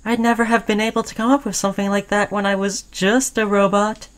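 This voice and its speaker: Lovestruck sentient robot played by a woman, mid-pitched, clingy and earnest, pleading with a veiled threat, for an intimate sci-fi audio roleplay. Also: little pitch movement, brisk, lightly acted. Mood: confessing, devoted. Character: obsessed, lovestruck sentient robot, clingy, caring yet menacing. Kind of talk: roleplay audio drama, intimate second-person monologue.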